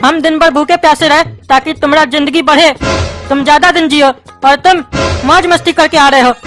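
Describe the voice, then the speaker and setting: A woman's voice: high-pitched, fairly bright tone. A woman voicing a sentimental, doting widowed father in a comic cartoon, with a Bihari accent and a plaintive, folksy delivery. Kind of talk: cartoon skit dialogue. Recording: music behind the voice.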